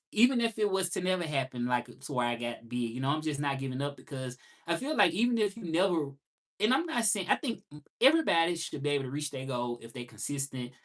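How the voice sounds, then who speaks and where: Southern guy in his 30s thinking out loud on a live stream, says stuff twice to drive it home. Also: medium pitch. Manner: reflective, repeats key phrases for emphasis. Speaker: livestream host. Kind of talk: casual live monologue.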